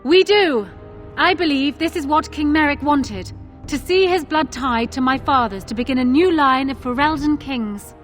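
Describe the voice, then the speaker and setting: A woman performs a self-assured fantasy-game queen with a high-pitched voice. She speaks in formal, assertive, regal phrases, proud and shrewd, with faint music behind her. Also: moderate pace, natural voice. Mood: regal, declaring. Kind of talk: video game voice acting.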